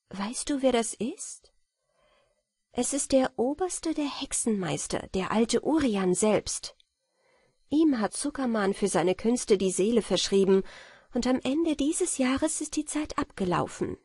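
A woman's voice: on the high side, animated, measured pace, a little mellow, breathy edge, no room sound, studio quality. A woman voicing a sly, defiant talking magpie in a fairy-tale audiobook, speaking in an insistent, dramatic way. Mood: urgent, warning.

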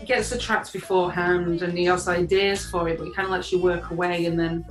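Woman on a video call, lowish voice, chatty and full of "like"s, echoey room with faint music. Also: flat delivery, fairly quick. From England. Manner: chatty, many fillers, rising on openings. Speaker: guitarist in a band, interviewee. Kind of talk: casual interview chat.

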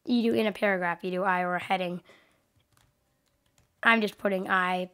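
A woman's voice: mid-range voice, fairly even intonation, normal speed, bright, forceful tone, smooth. Young woman, sniffly with a cold, hosting a loose desk-mic coding tutorial, rambling and self-correcting. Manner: rambling, hesitant, many ums and self-corrections. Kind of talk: casual screen-recorded tutorial.

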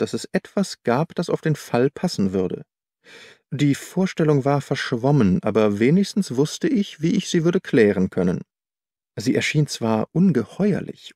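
A man reads a first-person detective story as an audiobook narrator. His mid-pitched voice is measured and articulate, recorded close and dry. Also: mellow, no echo.

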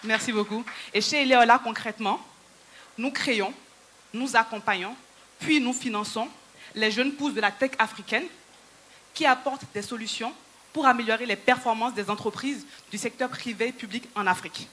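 A woman's voice: a little high, moderate pace, bright, forceful tone. A woman in her thirties, Yoruba her first language, giving a rallying stage talk to a conference audience on a handheld microphone in a large hall. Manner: persuasive, rallying, addresses the audience directly. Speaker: entrepreneur and speaker.

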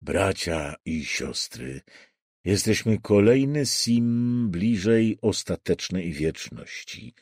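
A man voicing a gentle, quiet, solemn self-proclaimed prophet in his fifties, preaching in a measured way in an audiobook. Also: low-pitched, lively intonation, breathy edge, dry recording, studio quality, exaggerated voice. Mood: solemn, soothing.

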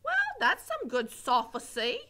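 A woman voicing a dim-witted young servant girl who drawls her words slowly and simply. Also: wildly swooping pitch, pushed and bright, full character voice. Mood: drawling. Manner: drawling, slow and simple, high and shrill.

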